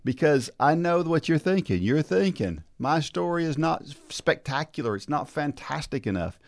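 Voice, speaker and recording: A man's voice: on the high side, big pitch swings, normal speed. A man hosting a casual talk show, chatty and encouraging, often restarting his sentences and tagging them with "right?". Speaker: podcast host. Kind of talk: casual chat.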